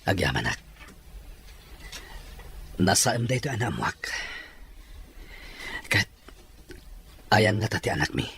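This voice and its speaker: A man's voice: lowish voice, sing-song, slow, vocal fry, pauses often, a bit bright, breathy edge, exaggerated voice. A man playing an ailing boss who longs for his lost child, in a radio drama. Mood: anguished longing.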